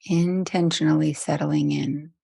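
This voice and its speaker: A woman leading a guided meditation as a teacher, speaking gently and soothingly with long, unhurried pauses. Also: low voice, fairly even intonation, vocal fry, slightly rough, dry recording, clean studio sound.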